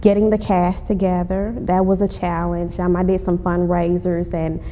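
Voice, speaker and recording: A woman's voice: level tone, normal speed, mellow. A woman being interviewed as a playwright, speaking conversationally and repeating phrases for emphasis. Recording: reverberant hall, faint rumbling noise.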